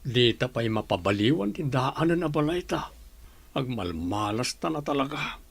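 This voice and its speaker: A man with a Filipino accent and a husky voice, playing a weary, grumbling farmer husband in a radio drama. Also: medium pitch, expressive, moderate pace.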